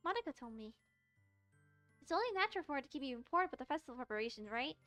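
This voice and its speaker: A woman puts on a sweet, subdued teenage girl from a visual novel. Her voice is very high and girlish, and she speaks quickly and lightly. Faint music plays behind her. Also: big pitch swings, fairly bright tone. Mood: matter-of-fact. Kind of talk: visual novel character read-aloud.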